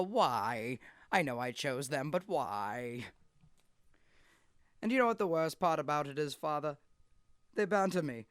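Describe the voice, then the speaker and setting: A man's voice: fairly high pitch, dry recording, clean studio sound, lightly acted. A man playing a fussy, obsessive cartoon son who vents to his father in a whiny, aggrieved, dramatic way. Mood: exasperated.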